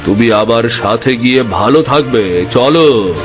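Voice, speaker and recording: A man's voice: medium pitch, lively intonation, unhurried, natural voice. Guy doing a sleazy arrogant village moneylender for a cartoon, drags his words, music under it. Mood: leering, smug. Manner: leering, boastful, theatrical laughing. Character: lecherous, arrogant male village moneylender, smug bully. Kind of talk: cartoon fairytale voice acting.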